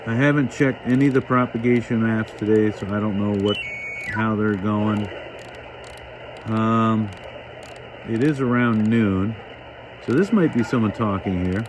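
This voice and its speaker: Guy demoing gear casually, slow n halting, thinking out loud, noisy hissy background. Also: on the low side, steady tone. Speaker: host demonstrating a shortwave radio. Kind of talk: casual hands-on demonstration, talking while working.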